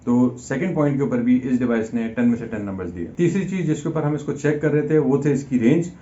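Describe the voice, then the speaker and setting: A man's voice: medium pitch, a little fast, dark tone. A man giving an explanatory product review in a conversational way, mixing English terms into his speech. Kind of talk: unboxing and review talk, explanatory. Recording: dull lo-fi sound, faint background noise.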